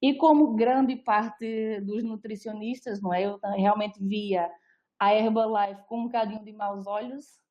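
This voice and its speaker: A woman's voice: on the high side, warm tone, lo-fi sound. A young adult woman with a Brazilian Portuguese accent, a nutritionist presenting an online talk in a friendly, explanatory way with frequent fillers.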